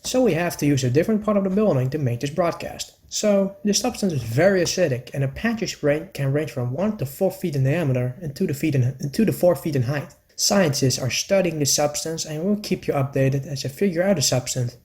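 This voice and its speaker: Man acting as higher-voiced local radio newscaster. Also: lively intonation, brisk, hardly any pauses, darkish tone, natural voice. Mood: earnest, formal. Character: male local radio newscaster reporting an emergency. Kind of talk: radio news bulletin.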